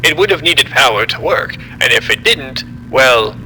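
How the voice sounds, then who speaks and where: A man playing a formal, measured scientist who explains a disaster in an audio drama. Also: medium pitch, expressive, sharp tone, slight background noise, rough recording. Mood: measured, explaining. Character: apologetic, condescending male scientist explaining a disaster.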